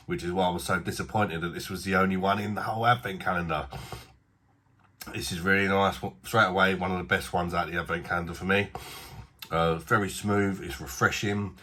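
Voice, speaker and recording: A man's voice: deep voice, bright, forceful tone. A man with a British accent reviewing beer in a casual, rambling, self-deprecating way, a little bunged up from a blocked nose. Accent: British English. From Britain.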